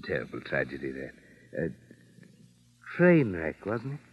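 Avuncular older lawyer from old radio, deep voice, swoops around, repeats himself, muffled recording. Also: male voice, huge pitch swings, a little slow, breathy edge, exaggerated voice. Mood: genial, probing. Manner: avuncular, repeats himself, trailing tag questions. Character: genial older male lawyer, chatty but quietly probing. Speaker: radio drama actor. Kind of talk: old-time radio acting.

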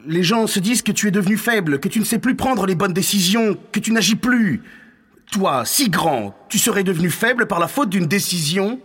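Husky, insistent Roman counsellor, reverberant. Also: male voice, high-pitched, expressive, moderate pace, a bit bright, heavily acted. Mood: insistent, indignant. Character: insistent, scheming male counsellor to a Roman ruler. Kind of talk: French audio fiction acting. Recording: long hall reverberation.

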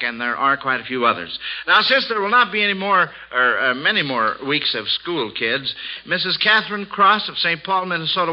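A middle-aged American man hosting a 1940s radio quiz show in a folksy, encouraging, chatty way with children. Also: mid-range voice. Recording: dull lo-fi old radio recording.

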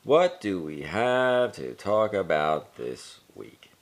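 A man's voice: sits low, big pitch swings, takes it slowly. A man co-hosting a casual podcast, telling stories in a laid-back, profane way with many restarts. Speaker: podcast co-host. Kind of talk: casual chat.

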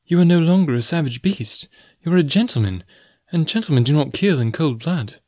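Man voicing an earnest, pleading young woman, raised pitch. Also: expressive, brisk, darkish tone, dry recording, clean studio sound. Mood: reproachful, earnest. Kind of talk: audiobook character dialogue.

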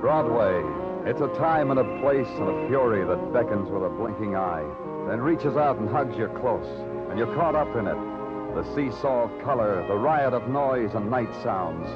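An actor playing a weary American police detective in an old radio noir drama, somber and deliberate in speech. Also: male voice, medium pitch, natural voice. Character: weary, brooding male big-city police detective. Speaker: narrator and lead detective. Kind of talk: old-time radio noir acting, poetic narration. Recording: dull vintage radio recording, loud music behind.

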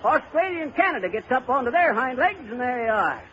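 Blustery small-town husband dodging chores, high swoopy voice, hissy old radio sound. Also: male voice, sing-song, fairly quick, bright, forceful tone, heavily acted. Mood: eager know-it-all. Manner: hemming, evasive, folksy grammar, chuckling. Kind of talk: 1930s radio comedy acting. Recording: muffled, noisy old radio recording.

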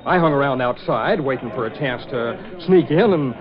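A man's voice: mid-range voice, animated, normal speed, over music, lightly acted. An actor playing a brash young prankster of a newspaperman, chatty and slangy, in 1940s radio style. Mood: uneasy explaining. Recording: dull old radio recording, chatter behind.

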